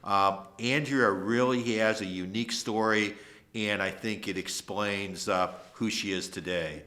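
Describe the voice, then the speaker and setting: A middle-aged man from Ohio, an attorney, hosting a casual interview in a relaxed way with plenty of ums and uhs. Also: fairly low pitch, measured pace. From Toledo, Ohio. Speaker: host, attorney.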